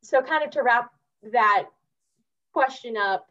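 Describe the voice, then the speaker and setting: Slightly lo-fi sound, woman, mid-range pitch, unhurried delivery, casual podcast interviewer. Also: bright, forceful tone.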